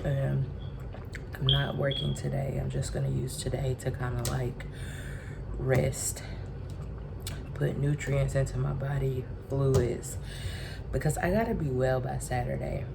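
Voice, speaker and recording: A woman in her twenties, from Texas, sick and congested with a raspy voice, giving a casual vlog update to her phone. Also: rumbling low, fairly even intonation, unhurried, pauses often, background noise. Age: 20s. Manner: chatty, addresses viewers directly. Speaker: vlogger. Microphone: phone camera.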